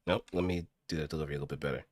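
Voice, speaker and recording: A man's voice: extremely low pitch, steady tone, very fast. A man talking casually to himself as he practises voice acting and directs his own takes. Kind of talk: casual self-directing talk between takes.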